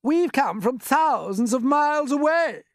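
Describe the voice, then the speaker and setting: A man's voice: high-pitched, sing-song, fairly quick, husky, dry recording, clean studio sound, pitched above the performer's own voice, full character voice. A man voicing a huge, cheeky, gleeful centipede in a storybook, calling out emphatically.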